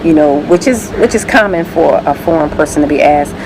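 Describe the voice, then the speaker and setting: An American woman talking in a casual vlog, earnest and halting, with many fillers and restarts. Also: sits low, lively intonation, noisy background. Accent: American English. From United States.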